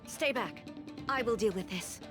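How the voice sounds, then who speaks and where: Casting: an imperious game ruler, female, formal stately delivery, slightly high register. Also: lively intonation, unhurried, over music. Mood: stern. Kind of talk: dramatic video game voice acting.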